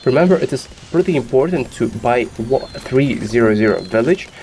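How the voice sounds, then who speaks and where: A man's voice: mid-range voice, animated, moderate pace, a little mellow, a little hiss or hum. Man with a sick voice explaining game strategy. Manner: instructive, many "uh" fillers. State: sick, slightly rough voice. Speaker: gaming guide host.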